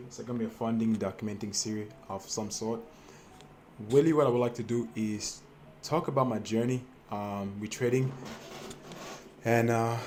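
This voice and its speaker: A man's voice: fairly low pitch, a little mellow, slight background noise. A young man from Cameroon telling his personal trading story to camera, hesitant and full of fillers and restarts. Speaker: trading vlogger.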